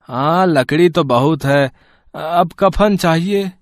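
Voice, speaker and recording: A man's voice: a little high, takes it slowly, dry recording, clean studio sound. A man playing a sullen, selfish young village husband in an audio story, grumbling and sulky. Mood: indifferent. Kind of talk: acted Hindi audio story dialogue.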